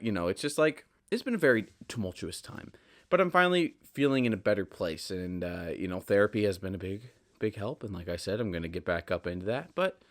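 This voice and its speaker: Low-voiced man rambling candidly on a podcast. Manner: candid, rambling, self-deprecating. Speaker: podcast host. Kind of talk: casual solo podcast monologue.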